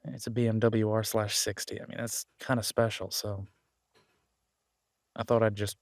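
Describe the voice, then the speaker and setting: Easygoing, bashful werewolf biker played by a male actor, lowish voice, casual hesitant delivery, dry close intimate audio-drama sound. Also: level tone, normal speed, vocal fry, dry recording. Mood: sheepish, affectionate. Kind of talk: intimate roleplay audio drama, one-sided conversation.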